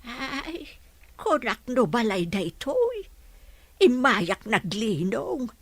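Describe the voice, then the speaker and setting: A woman's voice: on the high side, sing-song, slow pace, no echo, exaggerated voice. A woman playing a hungry, forgetful old village grandmother in a radio drama, plaintive and muddled. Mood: puzzled, forgetful. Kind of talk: Ilocano radio drama acting.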